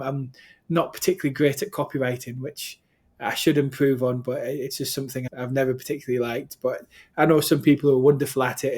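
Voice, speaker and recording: A man's voice: medium pitch, a little mellow. A man from the UK hosting an interview, rambling through anecdotes with many restarts. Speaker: interview host. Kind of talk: interview conversation.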